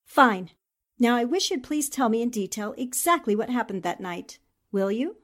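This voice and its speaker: A high female voice from an actress plays a principled newspaperwoman in a forties radio drama. She is articulate and courteous, with a firm, assertive way of pressing her questions. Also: expressive, normal speed. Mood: polite, probing. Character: determined, principled newspaperwoman investigating a murder case. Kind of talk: 1940s radio drama acting.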